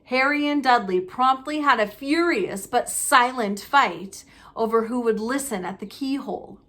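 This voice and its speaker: High-voiced woman reading a story aloud. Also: measured pace, bright, forceful tone. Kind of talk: expressive read-aloud narration.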